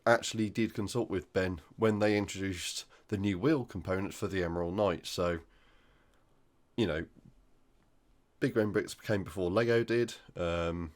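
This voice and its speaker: A man with a croaky voice from flu, hosting a hobby news roundup in a casual, hesitant way with many ums. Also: low voice, unhurried. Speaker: hobby channel host.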